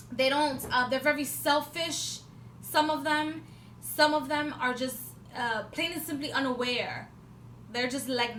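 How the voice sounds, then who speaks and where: High-voiced rambling woman in her twenties. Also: bright, forceful tone. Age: 20s. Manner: rambling, many fillers and restarts, swears for emphasis. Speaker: vlogger. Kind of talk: casual spiritual talk to camera. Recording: echoey room with faint background noise.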